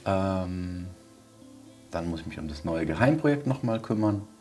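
Guy in his 40s doing a chill vlog, deep voice, pauses to think, bit of music under it. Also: animated, dark tone. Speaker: vlogger, audio drama maker. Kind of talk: casual video diary. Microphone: wireless clip-on lavalier.

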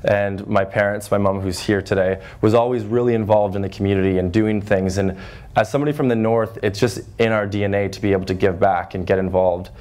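A man in his twenties from Northern Ontario with a loud voice, giving an earnest talk to a high school class with lots of fillers and restarts. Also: fairly even intonation, brisk. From Northern Ontario, Canada. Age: 20s. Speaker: guest speaker. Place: high school classroom with chalkboards, audience seated.

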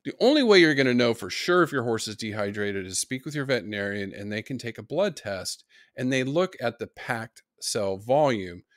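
A man's voice: mid-range voice, animated, normal speed, no echo, clean studio sound. A middle-aged man lecturing on horse nutrition, giving practical tips in an online course. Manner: practical, advice-giving explanation.

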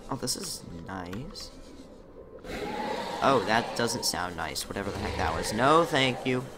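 A young man commentating his own gameplay in a casual, chatty way, muttering to himself, his voice slightly rough from a recent illness. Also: fairly low pitch, big pitch swings, unhurried, very noisy. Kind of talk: casual let's-play commentary.

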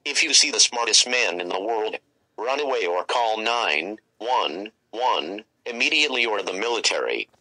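Low-pitched man reading a mock emergency alert. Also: animated, moderate pace, pushed and bright, low-quality recording. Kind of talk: scripted emergency broadcast narration.

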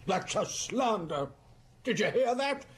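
A man's voice: sits high, very animated, slow pace, exaggerated voice. A man playing a stiff, indignant estate steward in a radio drama, prim and accusing.

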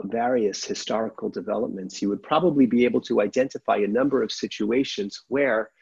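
Middle-aged rabbi, sermon, low husky voice, dry dull webcam sound. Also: male voice, darkish tone, dry recording. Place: sunlit home room with windows. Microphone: laptop webcam.

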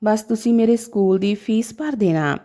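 A woman's voice: mid-range voice, normal speed, mellow, close to an everyday voice. A woman storyteller voicing a devoted, determined son who speaks with earnest emotion in a story. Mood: earnest pleading. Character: devoted, determined son pleading for his sick mother.

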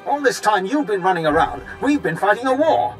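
A man's voice: high voice, big pitch swings, fairly quick, faint music behind. An actor playing a wry, blustering Imperial officer and anxious father in a video game, speaking in a dramatic, emphatic manner. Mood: desperate, threatening. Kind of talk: video game character dialogue.

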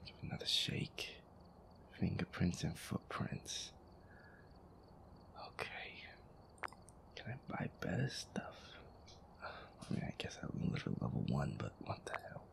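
A man playing a feisty, sweary werewolf boyfriend who grumbles his way through a horror game in an audio roleplay, his voice breathy and gravelly. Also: deep voice, fairly bright tone, exaggerated voice. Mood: wary, muttering. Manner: exasperated, sweary grumbling, reading game text aloud. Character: feisty werewolf boyfriend, grumpy, sweary, easily scared gamer.

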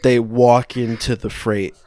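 A young man improvising scene narration for a comedy podcast, halting as he searches for words. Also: mid-range voice, level tone, a little mellow. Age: young adult. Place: small room at home, video call.

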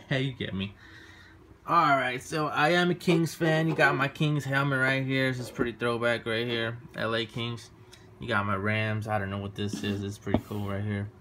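A man's voice: medium pitch, fairly even intonation, normal speed, background noise. A man, giving a laid-back, boastful tour of his bedroom, filmed on a handheld phone. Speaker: vlogger giving a room tour. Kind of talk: casual filmed room tour. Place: small bedroom at home, indoors. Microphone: handheld phone camera.

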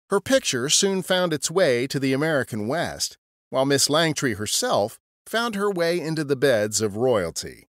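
Male podcast narrator for historical tales; animated intonation, wry storytelling, dry close sound. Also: mid-range voice, dry recording.

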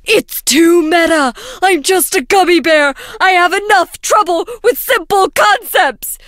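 A naive little gummy bear in a video game. The voice is squeaky and high, drawn out and swooping with melodrama, recorded dry. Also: female voice, a little slow, fairly bright tone, dry recording. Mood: melodramatic.

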